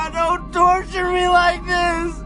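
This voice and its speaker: A despairing doctor in agony in a radio drama. His voice is pitched high with pain, extremely slow and halting, pleading over prominent dramatic music. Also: exaggerated voice. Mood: desperate, pleading. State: in pain, crying out. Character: agonized, despairing male doctor begging for mercy.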